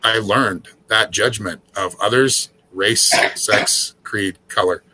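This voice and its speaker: A man's voice: lowish voice, takes it slowly, bright, forceful tone, low-quality recording. A man in his forties who grew up in a small town, hosting an earnest, casual conversation about spirituality and now and then swearing to make a point. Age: 40s. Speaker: spiritual host.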